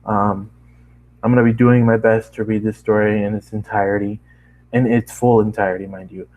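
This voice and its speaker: A man's voice: lowish voice, flat delivery, moderate pace, narrow, tinny audio. A man narrating a story as an amateur voice actor, stumbling over words now and then and apologetic about it. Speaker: fan fiction reader, amateur voice actor. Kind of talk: story narration with casual asides.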